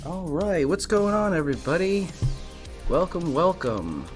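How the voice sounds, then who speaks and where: A man hosting a livestream show, slightly hoarse, greeting viewers and building hype like a showman. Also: a little high, very animated, measured pace, faint music behind.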